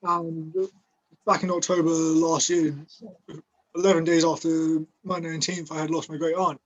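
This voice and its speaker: A man's voice: a little high, flat delivery, moderate pace, lo-fi sound. A young man of about twenty talks over a video call through a laptop mic. His voice sits a little above the usual male range. He speaks in short, plain statements. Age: 20s. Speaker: guest wrestler. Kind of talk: casual video-call chat.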